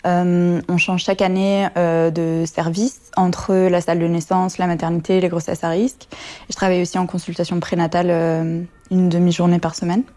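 A woman who works as a midwife at a hospital, introducing herself calmly in an interview. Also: on the low side. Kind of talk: personal interview testimony.

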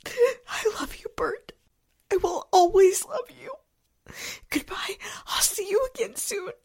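A woman's voice: very high voice, slow pace, a little mellow, exaggerated voice. An actress playing a fragile, devoted wife in despair, her voice tearful and breaking, in 1940s-style radio melodrama. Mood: despairing, loving. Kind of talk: 1940s radio drama acting.

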